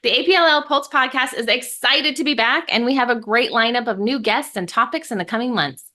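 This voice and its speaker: A woman's voice: fairly high pitch, a little fast, sharp tone. A woman hosting a podcast interview, upbeat and chatty, laughing easily. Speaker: podcast host.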